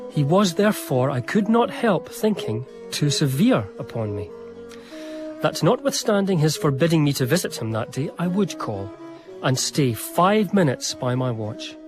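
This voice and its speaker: An actor playing an earnest, anxious gentleman biographer, narrating a period radio drama in a formal, reflective way. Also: fairly high pitch. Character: earnest, anxious, devoted gentleman biographer recalling his friend.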